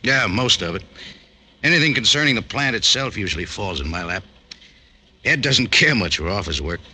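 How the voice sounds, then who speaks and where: A man's voice: fairly low pitch, lively intonation, normal speed, sharp tone. An actor playing an obliging, businesslike male factory manager in 1940s radio drama style. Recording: noisy old radio recording.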